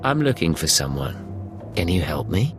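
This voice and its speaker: Low-voiced, terse secret agent. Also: male voice, big pitch swings, moderate pace, over music. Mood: cool, commanding. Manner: terse, controlled, confident. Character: cool, commanding male imperial secret agent. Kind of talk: video game character acting.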